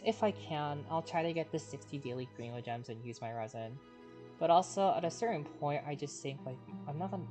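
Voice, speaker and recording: Low-voiced woman chatting on a game stream. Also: mellow. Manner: rambling, halting, reflective. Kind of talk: casual livestream chat. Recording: stream voice over game music.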